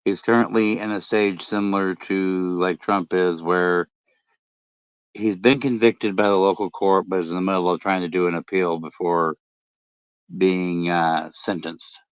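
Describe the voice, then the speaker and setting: A middle-aged man with a deep voice, chatting casually late at night and rambling with many fillers and restarts. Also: fairly even intonation, a little slow, smooth, no echo. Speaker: podcast host and ham radio vlogger. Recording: dull lo-fi dry sound.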